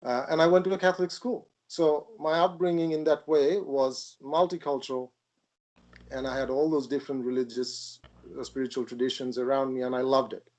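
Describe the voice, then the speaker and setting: Mellow-voiced man in his sixties. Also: medium pitch, fairly even intonation, rough recording. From Bangladesh. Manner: measured, thoughtful, pausing often. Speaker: interview guest. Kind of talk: reflective spiritual conversation.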